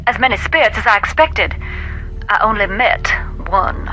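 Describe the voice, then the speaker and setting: Wry woman writer, game dialogue, playful, dry, bright forceful tone, light music. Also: mid-range voice, expressive, moderate pace, rough recording. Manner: dry, playful, poetic phrasing. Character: wry, whimsical woman writer who hunts ghosts. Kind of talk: video game character dialogue.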